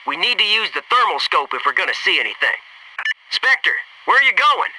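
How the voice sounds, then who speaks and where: A man's voice: sits high, big pitch swings, moderate pace, recorded from a distance, background noise. An actor playing a cocky, wisecracking male Navy SEAL squadmate in a military video game, talking in brash, punchy banter. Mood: focused. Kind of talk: scripted video game squad radio lines.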